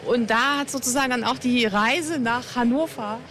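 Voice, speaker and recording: A woman's voice: fairly high pitch, moderate pace, noisy background. A woman in her forties from northern Hesse, answering radio interview questions hesitantly, with many fillers. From northern Hesse, Germany. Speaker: interview guest. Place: outdoor terrace at a lakeside festival.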